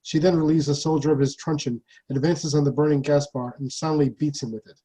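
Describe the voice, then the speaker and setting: A man with a slightly husky voice narrating stage directions from a play script. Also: fairly high pitch, fairly even intonation, a little fast, dark tone, rough recording.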